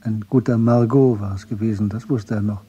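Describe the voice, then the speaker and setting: A man narrating a literary radio drama from a script in a measured, understated way. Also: lowish voice, steady tone, warm tone, slightly rough.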